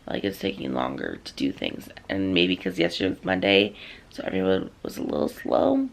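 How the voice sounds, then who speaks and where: A young woman, a student teacher, chatting casually in a vlog, rambling with lots of "um" and "like", her voice slightly hoarse as she loses it. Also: a bass voice, lively intonation, moderate pace. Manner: rambling, full of "um" and "like".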